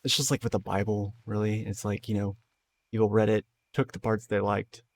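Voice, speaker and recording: A tired, hoarse man streaming a game, rambling in loose casual talk and swearing freely. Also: lowish voice, flat delivery, normal speed, a little hiss or hum. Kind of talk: casual live-stream chat.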